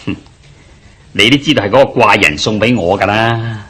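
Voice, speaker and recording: A man's voice: lowish voice, normal speed, bright, forceful tone, breathy edge. A man playing a hard-up, placating young debtor in a radio drama, speaking apologetically in Hong Kong Cantonese. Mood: reluctant. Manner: apologetic, eager to agree.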